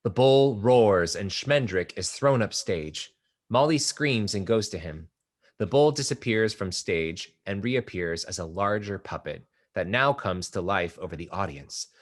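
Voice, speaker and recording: A man reading stage directions aloud in a play table read, measured and even. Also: fairly low pitch. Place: live reading at an art exhibition.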